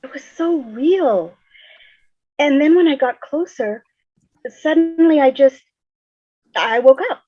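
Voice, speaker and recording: A woman's voice: sits high, expressive, takes it slowly, long pauses, mellow, no echo. A woman acting a frightened teenage girl who faces a deadly curse, in a dramatic table read over a video call. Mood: shaken, insistent. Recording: muffled video call audio.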